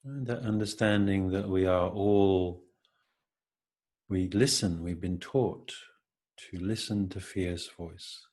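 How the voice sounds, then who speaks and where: A man leading an unscripted, reflective guided meditation, speaking gently in halting phrases with long pauses. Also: sits low, steady tone.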